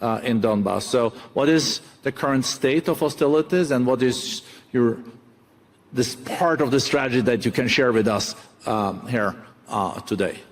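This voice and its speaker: A man with a Norwegian accent hosts an onstage conversation in a large, reverberant hall. He speaks at a middling pitch, feeling his way through questions in halting phrases. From Norway. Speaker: onstage moderator. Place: large conference hall stage.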